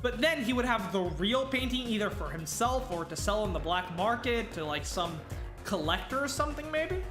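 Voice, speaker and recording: A woman with a Wisconsin accent giving casual, wry let's play commentary, thinking out loud as she plays. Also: medium pitch, lively intonation, moderate pace. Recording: roomy room with game music. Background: game music.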